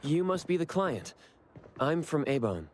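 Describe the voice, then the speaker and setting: An actor playing a calm, dutiful young investigator in a video game, polite, professional and reassuring. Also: male voice, fairly high pitch, unhurried, a little hiss or hum. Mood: calm, attentive.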